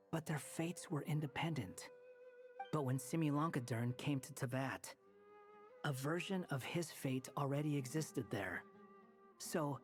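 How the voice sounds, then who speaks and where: An aloof young man from a video game, sceptical and sharp-minded. He lays things out drily and matter-of-factly over faint music. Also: a little high. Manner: dry, matter-of-fact explaining. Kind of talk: video game character acting.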